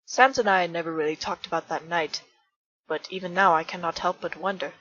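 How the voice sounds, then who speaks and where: A woman voicing an earnest, polite male bartender with formal, measured speech in a fan comic dub. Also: fairly low pitch, fairly even intonation, a bit bright. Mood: wistful. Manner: formal, measured, careful diction. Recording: muffled husky recording with faint music.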